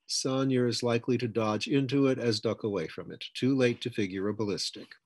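A man voicing a feisty, sharp-tongued old grandmother aboard a spaceship in an audiobook, brisk and folksy. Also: medium pitch, steady tone, breathy edge, no echo. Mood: terse, alert.

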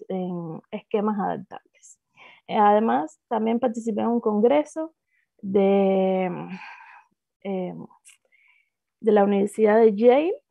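A woman's voice: mid-range voice, creaky, dry recording. A woman in her thirties with a Cuban accent, presenting her doctoral thesis in a hesitant academic talk full of fillers and restarts, over a video call. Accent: Cuban Spanish. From Cuba. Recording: dry, phone-like video call audio.

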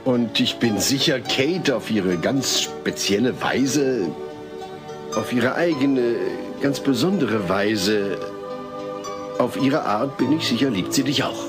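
A man's voice: mid-range voice, slow, over music, natural voice. An actor playing a patient, well-meaning family father who reasons earnestly in a comedy audio drama. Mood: earnest, awkward. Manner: earnest, reasoning, hesitant when awkward.

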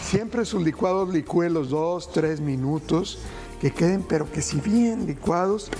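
A man's voice: fairly high pitch, a little slow, over music. A middle-aged man hosting a TV cooking show, giving folksy advice to viewers in a studio kitchen. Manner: folksy, addresses viewers as family, gentle advice. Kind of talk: cooking demonstration.

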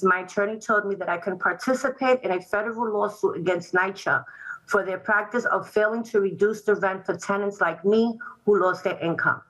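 A woman's voice: medium pitch, a bit bright, rough recording. A woman in her forties, from Puerto Rico and raised in New York City, reading a prepared statement earnestly as a client testifying at a public hearing over a video call. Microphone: video call webcam.

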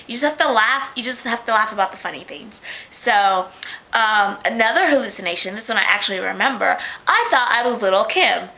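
A woman's voice: fairly high pitch, lively intonation, fairly quick, sharp tone, rough recording. Chatty young woman on a webcam. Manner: chatty, laughing easily, many restarts. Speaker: vlogger. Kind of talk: casual video diary. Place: small room at home, indoors. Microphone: webcam at close range.

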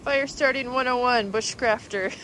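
A young woman recaps her days in an easygoing, cheerful way, with a slightly higher pitch. She is recorded on a camera mic in a vehicle and outdoors, and steady background noise is clearly heard. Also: normal speed, rough recording. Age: young adult. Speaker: road trip vlogger. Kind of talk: casual travel diary narration. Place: in a truck and outdoors at a campsite.

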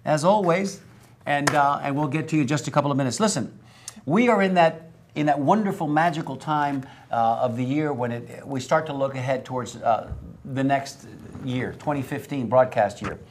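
A man's voice: mid-range voice, normal speed, slight background noise. A middle-aged man hosting a live local TV talk show from a studio desk, talking in an upbeat, folksy way with fillers. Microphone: lapel mic.

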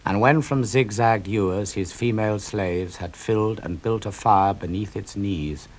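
Slow grave older man reading epic verse. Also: on the low side. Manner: slow, grave and declamatory, sweeping up for climaxes. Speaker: narrator reading poetry. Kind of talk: dramatic poetry reading.

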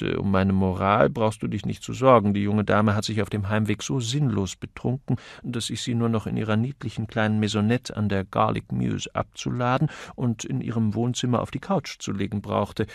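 A man's voice: fairly low pitch, gravelly, no room sound, clean studio sound. A man voicing a witty, flippant upper-class amateur detective in an audiobook, chatty, wry and urbane. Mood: wry, breezy.

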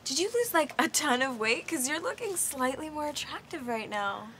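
A woman's voice: high-pitched, sing-song, moderate pace, a bit bright, a little hiss or hum. A woman doing improvised comedy, flirty and catty.

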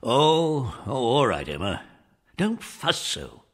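An actor voicing a sad old retired British general with an aged, world-weary voice. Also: male voice, medium pitch, big pitch swings, slow, breathy edge, heavily acted. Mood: fond, grumbling.

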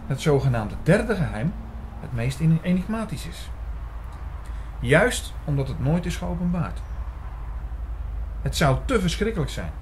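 Man playing a mellow, doubtful investigator narrator. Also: a little high, a little hiss or hum. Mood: explaining. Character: puzzled, suspicious male investigator narrating his story. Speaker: narrator and lead actor. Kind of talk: scripted audio drama narration.

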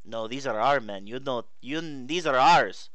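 A man's voice: mid-range voice, normal speed, no echo, lightly acted. Man putting on a broken-English warlord. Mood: indignant. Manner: broken English, pleading then cursing and shouting. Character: hostile male local warlord, broken English. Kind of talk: milsim roleplay.

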